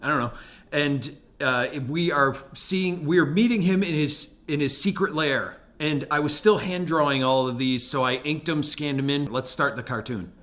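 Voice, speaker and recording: A man's voice: fairly high pitch, moderate pace, a bit bright. A man talking casually as an animator hosting a look back at his work, in a rambling, self-amused way. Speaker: animator and video host. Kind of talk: casual retrospective commentary.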